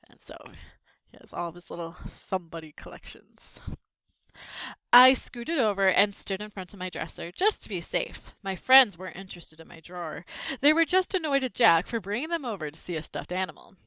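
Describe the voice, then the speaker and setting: Woman, expressive storybook read-aloud, lively pitch, casual asides, slightly dull lo-fi sound. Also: moderate pace, no room sound. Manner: animated, explains the story in plain asides. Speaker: read-aloud narrator with commentary.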